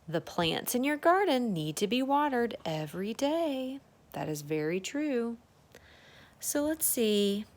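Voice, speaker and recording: A woman's voice: fairly high pitch, creaky. A woman reading a children's storybook aloud as a circle-time teacher, adding chatty asides, exclamations and questions for young listeners.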